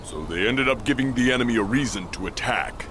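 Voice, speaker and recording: An actor playing a cocky, blunt warrior in a video game, with an informal manner. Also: male voice, medium pitch, animated, brisk, background noise, natural voice. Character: brash, cocky warrior sworn brother. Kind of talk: video game dramatic acting. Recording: voice under loud music.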